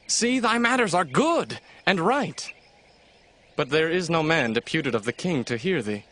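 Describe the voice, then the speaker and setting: Bright-voiced, fervent scheming young prince. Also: male voice, fairly high pitch, sing-song, unhurried, a little hiss or hum. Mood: persuasive. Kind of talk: dramatized Bible audio acting.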